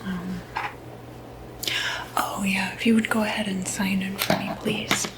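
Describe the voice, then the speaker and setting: A woman whispering in a breathy, gentle, hesitant way, playing a soft-spoken clinic receptionist in an ASMR medical roleplay. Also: medium pitch, level tone, unhurried, very noisy.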